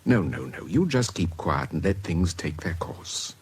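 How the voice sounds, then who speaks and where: An actor playing a shrewd, calm British suitor in a radio drama, reasoning persuasively in a measured way. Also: male voice, sits low, breathy edge, full character voice. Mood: gently insistent. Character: calm, shrewd suitor counselling the woman he loves.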